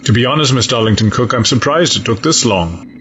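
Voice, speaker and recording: A man playing a stern, coldly logical fleet admiral in an audio drama, speaking in a measured, formal, grave way. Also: mid-range voice, animated, close to an everyday voice. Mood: resolute. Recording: dull lo-fi sound, audible noise.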